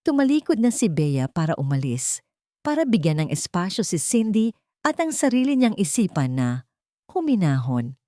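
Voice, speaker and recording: A woman's voice: mid-range voice, very animated, normal speed, warm tone, dry recording, studio quality. A woman storyteller reading scripted narration of a dramatic love story.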